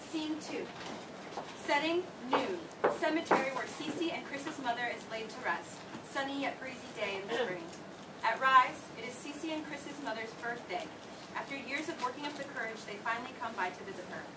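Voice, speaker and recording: A woman's voice: high-pitched, normal speed. A woman reading stage directions as scripted narration at a play reading. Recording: distant mic, echoey, very noisy room.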